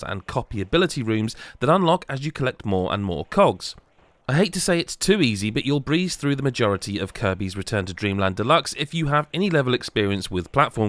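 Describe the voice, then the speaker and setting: A man's voice: medium pitch, fairly bright tone, no echo. A man reading a scripted video game review as a voice-over. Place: close, dry voice-over recording.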